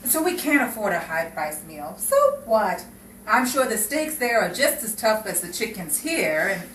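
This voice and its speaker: A woman's voice: medium pitch, sing-song, normal speed, a bit bright. Woman acting a wry girlfriend, distant and echoey. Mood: dry, patient. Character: wry, level-headed girlfriend working as a bank clerk. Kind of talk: vintage 1950s radio drama acting. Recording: echoey distant mic, noisy with crowd.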